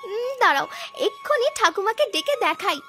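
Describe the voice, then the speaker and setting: A woman's voice: very high-pitched, very animated, brisk, music in the background. A woman voicing a humble, sweet, dutiful young village girl in a cartoon story, speaking meekly and earnestly. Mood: pleased. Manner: meek, earnest. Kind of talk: Bengali cartoon story dubbing.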